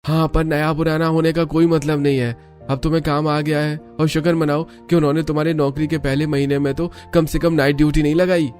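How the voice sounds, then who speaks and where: A chatty, practical male co-worker , acted by a man in a cartoon. He talks matter-of-factly in a fairly high voice with music underneath. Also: little pitch movement, fairly quick, a little mellow, close to an everyday voice.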